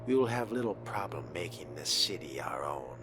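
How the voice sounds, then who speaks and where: A man role-playing a vampire character as he plays a game, musing aloud in a deliberate, formal, often hushed manner. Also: extremely low pitch, very animated, music in the background. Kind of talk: in-character roleplay narration of game playthrough.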